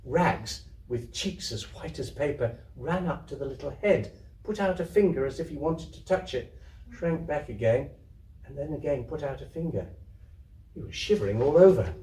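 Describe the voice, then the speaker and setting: Male narrator for literary fiction, dramatic and highly animated, mid-pitched, recorded at a distance. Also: moderate pace. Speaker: story reader, theatre troupe member. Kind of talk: expressive literary narration to an audience. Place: indoor community venue. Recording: echoey room, distant microphone.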